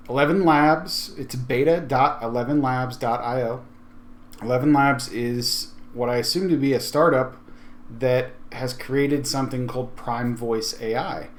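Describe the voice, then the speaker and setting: A man with a mid-pitched voice hosts a software tutorial. He talks informally and conversationally, thinking aloud as he walks through each step. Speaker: tech video host. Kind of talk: casual software tutorial walkthrough.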